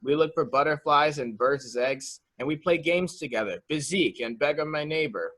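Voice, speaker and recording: A man's voice: a little high, moderate pace, a bit bright. Childlike, guileless young man played by an actor. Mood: happy, innocent. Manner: guileless, rambling, repetitive. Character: childlike, simple, excitable young man fearing being locked up. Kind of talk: dramatic reading of murder mystery.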